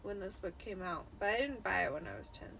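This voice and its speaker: A woman's voice: medium pitch, expressive, fast talker, a bit bright. A tipsy woman in her thirties chatting casually as she hosts her own book reading. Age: 30s. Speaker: host reading a book aloud. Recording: clearly noisy room ambience.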